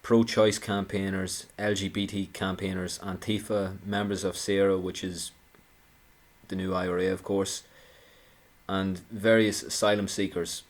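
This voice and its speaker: A man's voice: low-pitched, level tone, unhurried. A man giving an indignant, sarcastic political commentary, talking with frequent restarts. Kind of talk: monologue commentary.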